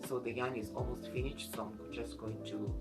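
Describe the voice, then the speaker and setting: A man's voice: mid-range voice, little pitch movement, normal speed. A man giving an easygoing, explanatory craft tutorial in a casual style. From Kenya. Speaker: DIY craft vlogger. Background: background music with guitar.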